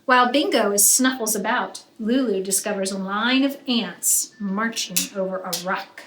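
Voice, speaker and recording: A woman reading a picture book aloud to children like a teacher, with expressive, emphatic narration that draws out key words. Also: medium pitch, normal speed. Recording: roomy echo, faint background noise.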